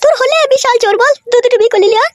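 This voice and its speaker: A woman playing a jealous, quarrelsome village wife in a comic cartoon, squeaky and shrill, with a nagging, sarcastic way of talking. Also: rapid-fire, no echo.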